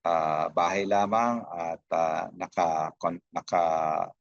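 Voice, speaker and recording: A Filipino man giving unscripted opening remarks as a host, halting often with hesitations and broken-off words. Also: low voice, fairly even intonation, creaky, dry recording, lo-fi sound. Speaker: host, institute director.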